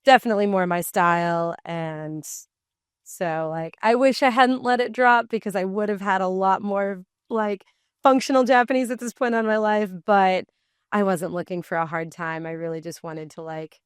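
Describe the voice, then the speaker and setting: A young woman in her twenties talks casually as a podcast guest. Her voice is smooth and clear, at a middle pitch for a woman, and she rambles, leaning on "like" as she goes. The sound is dry and close to the mic. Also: steady tone, moderate pace, dry recording. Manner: rambling, many fillers, acts out voices in anecdotes. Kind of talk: casual conversational interview.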